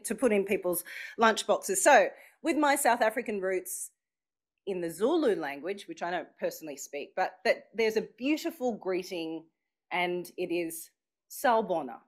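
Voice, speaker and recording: An Australian woman is emceeing a live event, and her pitch swings widely as she talks. She sounds chatty and playful, and speaks straight to the room as she draws the crowd in. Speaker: master of ceremonies at an event launch. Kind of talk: live speech to an audience. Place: function room with a live audience.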